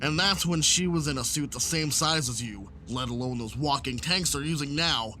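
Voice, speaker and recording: A man's voice: mid-range voice, normal speed, pushed and bright, faint music behind, natural voice. A man playing a stern, irritable military captain in an audio drama. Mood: angry, stern. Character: stern, irritable male military captain briefing his troops. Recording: clearly noisy background with engine-like ambience.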